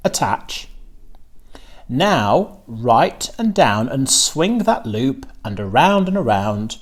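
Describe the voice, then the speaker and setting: A man's voice: medium pitch, exaggerated voice. A man voicing a busy female storybook spider who talks herself through work in short, encouraging commands. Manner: clipped self-directed commands, self-encouraging.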